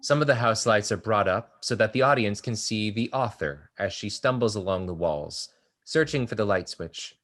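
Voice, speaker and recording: A man's voice: fairly low pitch, moderate pace, a bit bright. A man reading stage directions aloud in a plain, matter-of-fact way during a play table read.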